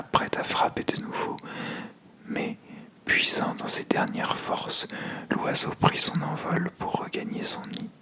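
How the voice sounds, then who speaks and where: A storyteller narrating a scripted fable softly and deliberately in an ASMR style. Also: mid-range voice.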